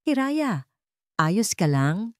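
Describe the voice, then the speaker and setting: A Filipino woman voicing a gentle, concerned female companion in a story, speaking tentatively. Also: mid-range voice, sing-song, extremely slow, dry recording, clean studio sound, voice pushed up.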